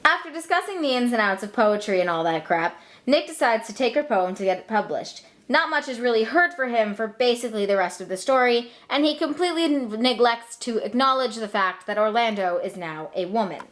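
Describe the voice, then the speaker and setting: Young woman telling a funny story, kinda flippant, highish voice, really animated. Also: fairly quick, few pauses. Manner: flippant, casual. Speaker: narrator. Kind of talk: comic scripted storytelling.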